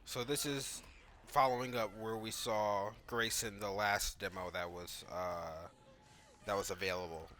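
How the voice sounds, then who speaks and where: A man's voice: fairly low pitch, steady tone, measured pace, pushed and bright, a little hiss or hum. A man giving casual, analytical commentary on video game footage.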